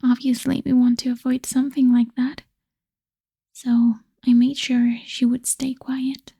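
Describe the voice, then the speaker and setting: A woman playing an obsessive, lovestruck female vampire in a whispered ASMR roleplay, breathy, coaxing and possessive, seductive with quiet menace. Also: high voice, level tone, unhurried, heavily acted. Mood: cold, sweet menace.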